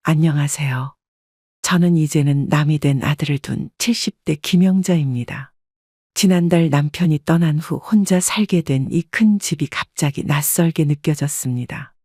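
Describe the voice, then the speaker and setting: Woman reading a sad family story aloud, even and wistful, slightly husky, dry close mic. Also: low-pitched, normal speed, no room sound. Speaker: storyteller narrating in first person. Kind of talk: scripted audio drama narration.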